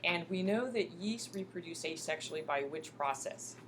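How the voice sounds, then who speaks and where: A woman's voice: lowish voice, lively intonation, normal speed, a bit bright. A woman lecturing to a college class in a folksy, encouraging way, prompting students with questions as she goes. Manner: folksy, addresses students often as "you guys" and "folks"; encouraging, question-and-answer teaching. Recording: clearly noisy classroom recording.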